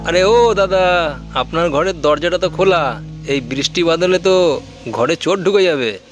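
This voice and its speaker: A man's voice: on the high side, a little slow, soft background music, natural voice. A man playing a stubborn, bold young village man in a horror cartoon, speaking colloquially. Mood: calling out. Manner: defiant, colloquial.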